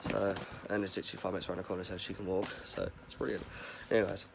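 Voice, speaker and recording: A young man with a head cold and a hoarse voice, rambling casually through a daily vlog. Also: sits low, little pitch movement, racing, noisy background. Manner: rambling, chatty, filler-heavy. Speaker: vlogger.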